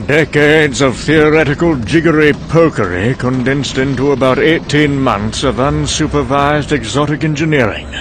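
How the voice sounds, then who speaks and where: A man's voice: mid-range voice, moderate pace, hardly any pauses, background noise, close to an everyday voice. A man acting as a wry, cynical, conversational narrator in an apocalyptic comic-book drama. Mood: wry, resigned. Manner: sardonic, conversational. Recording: background music under the voice.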